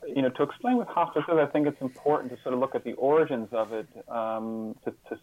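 A man being interviewed as a guest, answering reflectively in a halting, thoughtful way with pauses and repeated words. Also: mid-range voice, a little mellow, highs cut off, slight background noise. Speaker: interview guest, author and hospice volunteer.

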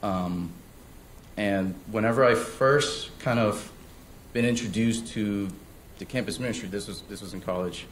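A man's voice: on the low side, measured pace, slight background noise. A young man born in South Korea, telling his personal testimony at church in a hesitant way with many fillers and restarts. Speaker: church member giving testimony. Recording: roomy sound with faint ambience.

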